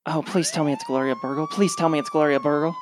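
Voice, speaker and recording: A woman's voice: sits low, quick pace, close to an everyday voice. A woman playing a dramatic, exasperated, grumpy character. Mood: impatient. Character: exasperated, grumpy woman who dislikes people.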